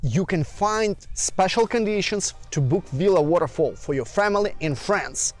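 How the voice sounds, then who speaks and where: A man hosting a travel video, promoting a vacation rental with an upbeat, salesy pitch aimed straight at the viewer. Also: animated, moderate pace, faint music behind. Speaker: villa rental promoter and channel host.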